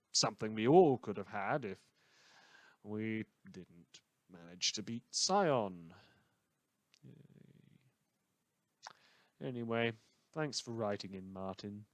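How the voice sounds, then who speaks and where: A man playing a cynical, misanthropic radio host in a post-apocalyptic fan audio drama, speaking sardonically with dramatic, halting pauses. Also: fairly low pitch, animated, no room sound. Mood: halting, wistful. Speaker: fictional radio show host.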